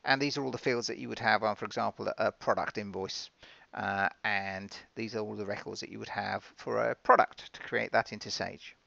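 Gravelly man presenting a software demo. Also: fairly low pitch, steady tone, a little fast, no room sound, dull audio. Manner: informal, explanatory, step-by-step. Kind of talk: conversational software demo narration.

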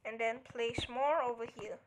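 A woman's voice: a little high, fairly even intonation, moderate pace, slight background noise, poor audio. A woman giving a casual step-by-step game tutorial, counting items aloud and checking in with "alright?" as she goes. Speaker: tutorial creator.

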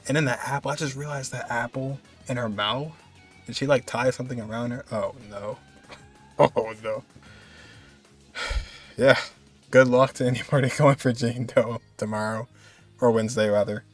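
A man's voice: medium pitch, level tone, unhurried, soft background music. A man giving casual, rambling, enthusiastic reaction commentary as a video host. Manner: rambling, enthusiastic, repeats himself.

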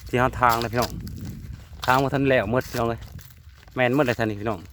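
A man's voice: mid-range voice, steady tone, fast, a bit bright. A man with an Isan Thai accent chatting casually and in a folksy way to his viewers while filming outdoors in the countryside. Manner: often addresses viewers in a folksy way. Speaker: vlogger filming a family outing. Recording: noisy outdoor rural recording.

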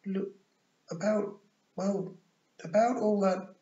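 A man's voice: high-pitched, steady tone, slow, warm tone, pitched above the performer's own voice. A man voicing an embarrassed, well-born boy in an audiobook, hesitant and stammering as he blurts things out. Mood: flustered. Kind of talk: audiobook character voice. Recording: muffled, roomy recording.

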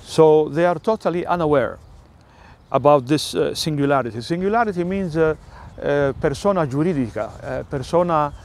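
An older man with an Italian accent, an Italian native speaker, talking through a rambling personal monologue with many filler sounds and pauses. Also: a little high.

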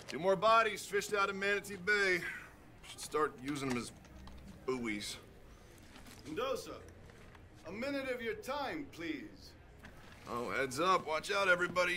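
Bossy male police chief, sarcastic, high sharp voice with animated swings. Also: moderate pace, pauses often, a little hiss or hum, exaggerated voice. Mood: sardonic. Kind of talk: cinematic crime game acting.

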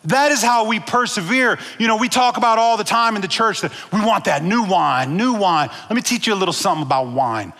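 Pastor guy preaching on stage, high bright voice, big hall echo, lots of amens and y'all. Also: fairly quick, few pauses. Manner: animated, gesturing preacher calling out to the congregation. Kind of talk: sermon. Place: large church stage with blue lights. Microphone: headset mic. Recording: long hall reverb of a church auditorium.

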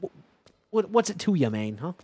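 Young male streamer, high-pitched, swings all over, loud and sweary, headset mic. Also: fairly quick, slightly rough, no room sound. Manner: loud, swearing, joking, animated. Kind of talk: casual gameplay commentary with chat. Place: home gaming room, indoors.

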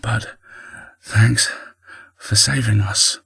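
Timid young boy camper, creaky husky voice, hesitant stutter, polite, fantasy audiobook. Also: on the high side, very animated, no room sound, exaggerated voice. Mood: timidly grateful. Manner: hesitant, halting stammer.

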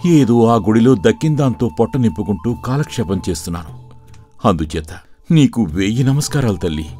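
A man's voice: on the low side, mellow, close to an everyday voice. A man voicing a humble, contented and devout poor temple priest in a cartoon story, pleading and reasoning respectfully. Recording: music under the voice.

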